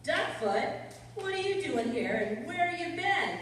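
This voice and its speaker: A woman's voice: very high voice, sing-song, unhurried, heavily acted. A woman playing a jealous, cornered circus tiger tamer with slangy, tough talk in an old-time radio crime drama. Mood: brash. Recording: echoey hall, far from mic, faint noise.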